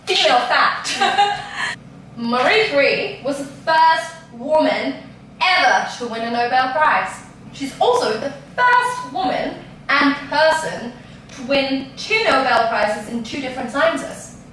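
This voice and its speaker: A woman's voice: high-pitched, animated, takes it slowly, fairly bright tone, a little hiss or hum. A young woman from Denmark with a Danish accent, chatting casually and giggling as she hosts a vlog. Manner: giggly, chatty, self-mocking. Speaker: vlog host. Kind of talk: casual chat. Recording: echoey room, mic far off.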